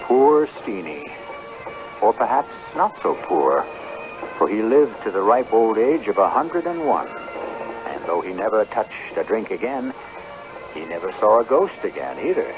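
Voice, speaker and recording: Male host-narrator, slightly low voice, dramatic storytelling over music on a dulled radio broadcast. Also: sing-song, a little slow. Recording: muffled old radio broadcast.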